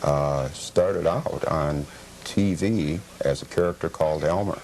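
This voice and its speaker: An American man giving informative interview answers as a collector guest, factual and slightly formal in a measured way. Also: a bass voice, darkish tone. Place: television studio. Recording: noisy, deteriorated old cable TV tape.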